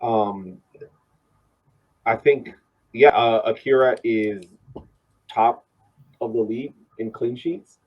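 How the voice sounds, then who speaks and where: A man co-hosting a soccer podcast, giving casual analysis in a halting way, repeating words as he builds his point, on a dull lo-fi microphone. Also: mid-range voice, breathy edge.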